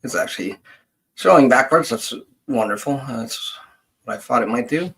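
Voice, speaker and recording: A middle-aged man hosting a casual livestream as a genealogist, rambling and thinking aloud in a halting way. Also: mid-range voice, animated, vocal fry, fairly bright tone, slightly rough.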